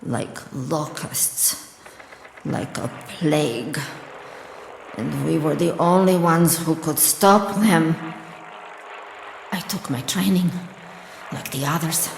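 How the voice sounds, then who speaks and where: A woman's voice: deep voice, vocal fry, a little hiss or hum, heavily acted. An actress playing a haunted, frightened but determined female soldier in a radio drama, solemn and intense, her inner thoughts set in reverb. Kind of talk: radio drama acting, interior monologue. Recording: long echoing reverb, faint music.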